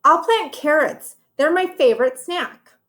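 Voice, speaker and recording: A woman voicing Camille, a high-voiced little girl. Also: a little slow, fairly bright tone. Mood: earnest. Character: Camille, young girl, indecisive and earnest.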